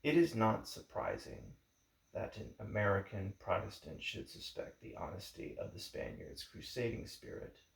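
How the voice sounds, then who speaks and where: Male reader for a history audiobook: fairly deep and creaky, formal and deliberate, recorded in an echoey room. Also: wildly swooping pitch. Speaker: book reader. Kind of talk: audiobook-style reading of a history book introduction.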